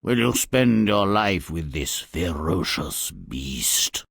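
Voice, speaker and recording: A man's voice: low voice, very animated, slow, gravelly, a bit bright, no echo, studio quality, full character voice. A man voicing a lovesick, menacing monster in a fairy-tale game, solemn, theatrical and formal in speech. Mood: pleading. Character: mournful, menacing male monster, lovesick man-eating beast. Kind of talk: video game fairy-tale cutscene acting.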